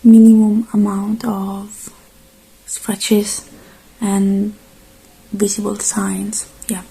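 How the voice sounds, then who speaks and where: Hushed, slow, dark-voiced ASMR woman. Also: medium pitch, completely flat delivery, vocal fry, husky, rough recording. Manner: reassuring, hushed, halting delivery in short phrases. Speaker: ASMR roleplay performer. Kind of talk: soft-spoken ASMR roleplay, alternating with whispering.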